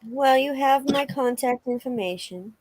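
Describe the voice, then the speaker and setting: A woman reading the part of a calm, reassuring law officer in a dramatic reading of a witness interview. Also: high-pitched, measured pace, no echo, rough recording. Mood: matter-of-fact. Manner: matter-of-fact, reassuring.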